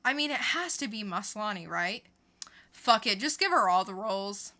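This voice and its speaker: Bright, crisp-edged sarcastic woman co-host. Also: medium pitch, lively intonation, normal speed. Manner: dry, sarcastic punchlines. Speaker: podcast co-host. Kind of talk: scripted comedic pop-culture news delivery.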